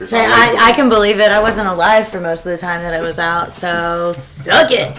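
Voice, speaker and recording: A woman's voice: fairly low pitch, fairly even intonation, moderate pace, a crowd in the background. A woman on a podcast panel, speaking in casual banter.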